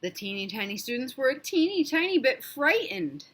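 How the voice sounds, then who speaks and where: A woman with a high voice reads aloud to young children. Her storytelling is expressive and interactive, pitched to draw little listeners in. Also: moderate pace.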